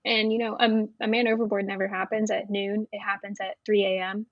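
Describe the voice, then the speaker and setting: A woman's voice: medium pitch, a little fast, no room sound. Navy vet woman talking easy, lots of ums, kinda muffled dry audio. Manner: relaxed, frank, many "um" and "like". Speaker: podcast guest, Navy veteran air traffic controller. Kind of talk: casual interview chat.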